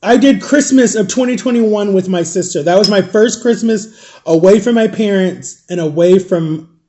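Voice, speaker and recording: A man's voice: high voice, normal speed, mellow, a little hiss or hum. A man in his thirties from Florida, telling a rambling storytime to his viewers and correcting himself as he goes. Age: 30s. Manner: rambling, self-correcting, chatty. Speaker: vlogger. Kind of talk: casual storytime chat to viewers.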